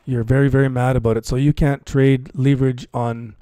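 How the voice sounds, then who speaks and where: A man's voice: medium pitch, flat delivery, a little fast, warm tone, smooth, dry recording. A man hosting a live stream, commenting casually and chatting with viewers, often repeating phrases for emphasis. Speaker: livestream host and cryptocurrency trading commentator.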